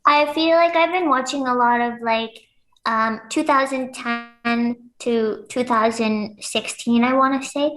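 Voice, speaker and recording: A woman's voice: on the high side, unhurried, a bit bright, roomy sound, poor audio. A young woman from Lebanon, a pro wrestler, chatting casually as an interview guest and laughing often. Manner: giggly, upbeat, laughs often.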